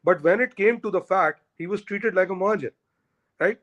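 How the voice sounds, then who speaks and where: A man's voice: sits high, brisk, fairly bright tone, lo-fi sound. A man, an Urdu speaker, arguing his points emphatically in a conversational discussion. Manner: emphatic, argumentative, repeats points. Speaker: guest.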